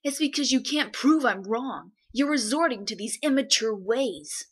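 Woman voicing young animated character, high pitch, earnest, defiant. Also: normal speed, breathy edge.

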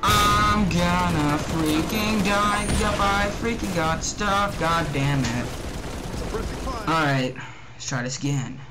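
A young man with a slightly high voice talks casually through a video game. He is excitable and mutters to himself as he goes. Loud game music and gunfire run behind him. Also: slow pace. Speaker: let's play gamer. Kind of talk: casual gameplay commentary.

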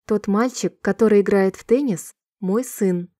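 A woman reading short sentences slowly and clearly for a language-listening drill, repeating each one in a measured, even way. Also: mid-range voice, no room sound, clean studio sound. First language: Russian. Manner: clear, measured, evenly paced repetition. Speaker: Russian sentence reader.

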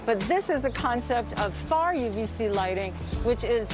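A woman's voice: a little high, expressive, moderate pace. A woman who owns a bakery, speaking in conversational interview soundbites in a matter-of-fact way. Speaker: bakery owner. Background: music bed.

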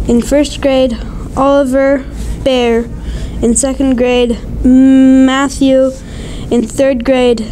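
A woman announcing a school news show, reading a list of names in a slow, careful way. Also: high voice, dark tone, slight background noise, low-quality recording.

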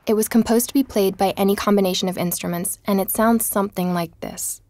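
Actress as measured, curious woman investigator. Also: medium pitch, fairly even intonation, darkish tone, no echo, lightly acted. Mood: measured, explanatory. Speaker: narrator and investigator in a podcast audio drama. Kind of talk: scripted documentary-style podcast narration.

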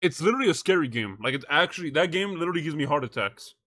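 A man's voice: on the high side, lively intonation, very fast, bright, forceful tone, no room sound. A young man livestreaming, chatting casually and reacting to clips in slangy, swear-laden talk on a close mic. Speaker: live streamer.

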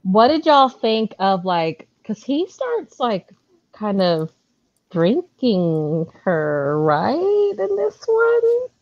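A woman's voice: a little high, extremely slow, no echo. A young adult woman chatting casually and expressively as a panelist in an online book discussion. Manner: informal, expressive. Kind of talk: casual chat. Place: indoor home room on a video call. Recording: slightly dull video-call audio.

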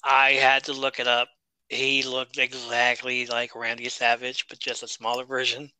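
Male podcast co-host, very bright and smooth voice, dry close mic, chatty and joking. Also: mid-range voice, completely flat delivery, normal speed, dry recording, rough recording. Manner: chatty, reads notes, jokes and laughs. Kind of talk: casual wrestling review chat.

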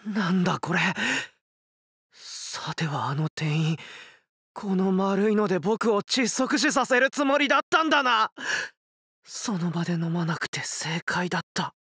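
An actor playing a shy, sheltered young man in an anime comedy, terse, halting and deadpan. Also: high-pitched, breathy edge, dry recording, clean studio sound, heavily acted. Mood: grimly suspicious. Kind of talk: Japanese anime comedy voice acting.

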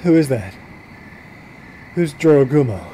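A man's voice: mid-range voice, lively intonation, unhurried, dark tone, slight background noise, lightly acted. An actor playing a serious, troubled man obsessed with the supernatural, speaking plainly and hesitantly in an understated audio drama. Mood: uneasy.

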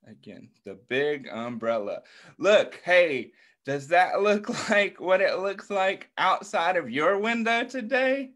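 A man's voice: high-pitched, a bit bright. A middle-aged American man reading a picture book aloud to young children, encouraging them with questions and praise over a video call. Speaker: children's story time host.

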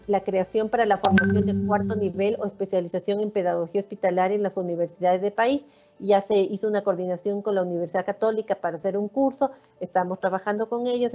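A middle-aged woman coordinator giving a formal, measured conference presentation over a video call. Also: mid-range voice, mellow. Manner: measured, reads points in order. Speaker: national coordinator of hospital classrooms. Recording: dull lo-fi video call audio.

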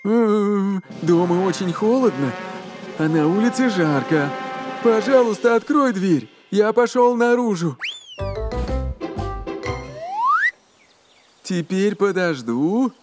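A very high, slow-paced cartoon little man, childlike and impatient. He whines and exclaims in an exaggerated way, with clear music behind him. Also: lively intonation. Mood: excited. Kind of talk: preschool cartoon voice acting.

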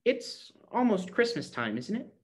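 A man reading a storybook aloud, voicing a cheerful, eager poor little girl with a bright, pleading tone. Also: high voice, a little fast, voice pushed up. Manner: bright, excited, breathless pleading.

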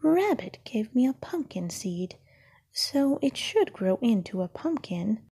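A woman voicing a gentle, simple male teddy bear from a storybook, speaking softly and sadly in a whisper. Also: on the high side, big pitch swings, takes it slowly, husky, heavily acted. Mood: wistful. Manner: soft, sad, whispery.

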